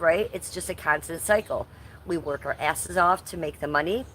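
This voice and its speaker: A woman's voice: fairly low pitch, fairly bright tone. A woman in her fifties hosting a casual live stream from her front yard, playfully greeting viewers by name. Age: 50s. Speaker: livestream host. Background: faint ambient noise of roofers working nearby.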